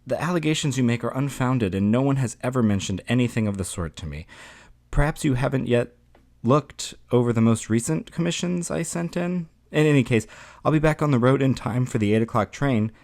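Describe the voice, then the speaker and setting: A man's voice: medium pitch, expressive, fairly quick, a little mellow, pitched above the performer's own voice, lightly acted. Man acting an anxious, over-polite insect-man, blurting excuses. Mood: anxious, pleading. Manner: blurting, deferential, formal.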